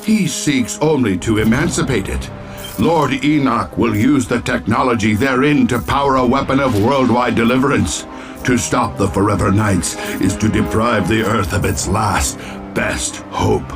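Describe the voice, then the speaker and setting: A man's voice: mid-range voice, moderate pace, lightly acted. A man playing a solemn, devoted knight in a video game, speaking in formal, archaic phrasing. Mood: solemn zeal. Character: solemn, devoted knight foot soldier of a secret order. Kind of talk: video game cutscene voice acting. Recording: music under the voice.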